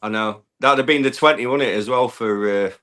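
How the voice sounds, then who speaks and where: A man with a hoarse voice, chatting casually as a fan calling in to a football show. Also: medium pitch, brisk, a bit bright. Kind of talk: casual chat.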